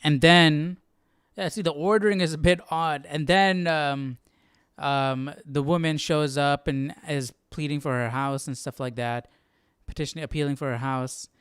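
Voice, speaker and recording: A man's voice: on the high side, steady tone, moderate pace, fairly bright tone, clear, resonant tone, no room sound, studio quality. A man hosting a casual live Bible study, musing and questioning as he thinks aloud. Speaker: host.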